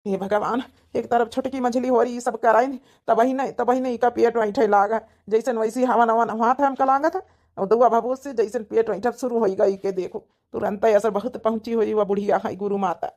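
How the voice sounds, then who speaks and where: A man's voice: very high voice, fairly even intonation, fairly quick, a little mellow. A man voicing a worried, rambling village husband in a cartoon comedy, with an Awadhi accent. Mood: troubled muttering. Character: worried eldest son, a flustered village husband.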